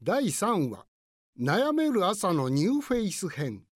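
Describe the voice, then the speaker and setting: A woman narrating a light radio comedy in a measured, presentational scripted style. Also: medium pitch, very animated, noisy background.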